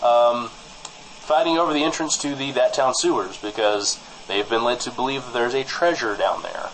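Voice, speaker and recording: A man playing an apologetic small-town broadcaster in improvised comic radio banter, halting and over-formal on a studio mic. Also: mid-range voice, a bit bright, slight background noise, close to an everyday voice, poor audio. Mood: mock-serious. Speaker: co-host of a fictional small-town news show.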